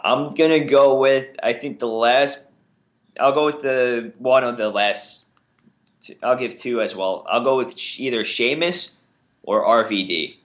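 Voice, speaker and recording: A man's voice: medium pitch, measured pace. Casual man in his mid range, easygoing podcast co-host, talks in fits and starts. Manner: relaxed, hesitant with fillers. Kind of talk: casual wrestling podcast chat.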